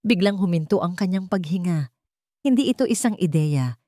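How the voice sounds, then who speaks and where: A woman storyteller with a fairly low voice reads scripted romantic drama. She delivers it as dramatic narration. The sound is dry, with no room around the voice. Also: lively intonation, normal speed, mellow, no room sound.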